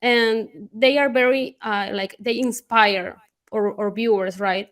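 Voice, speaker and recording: A woman's voice: fairly high pitch, steady tone, slow pace, fairly bright tone. A woman hosting a virtual Q&A interview, encouraging and affirming, often ending with "right?".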